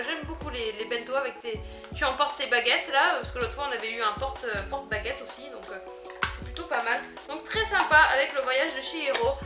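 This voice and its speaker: A woman chatting through an unboxing vlog, easily delighted and quick to giggle. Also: sits high, reverberant. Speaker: unboxing vlogger. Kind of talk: casual product unboxing chat.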